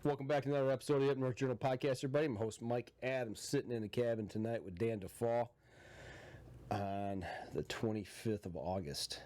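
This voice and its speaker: A man's voice: mid-range voice, a little fast, darkish tone. A male podcast host with a congested head cold, chatting casually and laughing often. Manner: laid-back, laughs often. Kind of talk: casual live podcast chat. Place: cabin.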